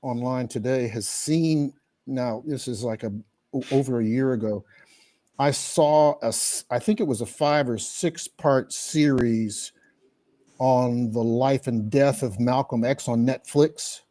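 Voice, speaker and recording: Man, co-host, casual political chat, halting, dark relaxed voice. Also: mid-range voice. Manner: halting, many fillers.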